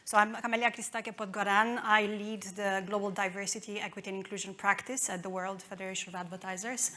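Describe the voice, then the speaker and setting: A woman's voice: medium pitch, flat delivery, fast talker, bright, forceful tone, a little hiss or hum. A woman in her thirties from Romania with a Romanian accent, giving a conference talk with many fillers and restarts. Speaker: conference speaker.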